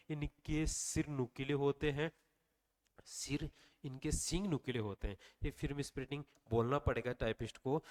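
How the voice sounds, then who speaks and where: A man's voice: medium pitch, a little mellow. A man with a slightly sore throat teaching an exam revision lesson, asking the class questions and answering them himself. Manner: quizzing listeners with repeated questions and answers. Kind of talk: online revision lecture.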